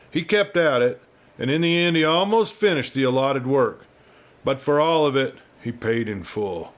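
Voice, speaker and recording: A man telling a story as host and narrator, in a folksy, measured manner. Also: medium pitch, fairly bright tone. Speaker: storyteller host reading a western novel. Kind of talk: folksy scripted audiobook narration.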